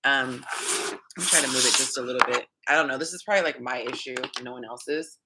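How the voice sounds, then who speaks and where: A woman's voice: a bass voice, fast talker, very noisy. A young woman from California, hosting a casual livestream, chatting with viewers and reading their comments aloud with frequent ums. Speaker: dating advice host.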